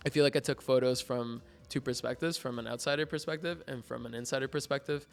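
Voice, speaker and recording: Chill mexican-accented guy, gravelly smooth voice, rambles a lot, soft music behind. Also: medium pitch, quick pace. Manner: relaxed, rambling, many likes and restarts. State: hungover. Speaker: graduating art student guest. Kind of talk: casual interview chat.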